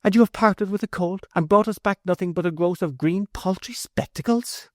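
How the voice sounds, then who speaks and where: A man reading aloud, voicing a shrill, quick-tempered middle-aged mother with raised, exclaiming lines. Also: dry recording, clean studio sound, close to an everyday voice. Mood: indignant.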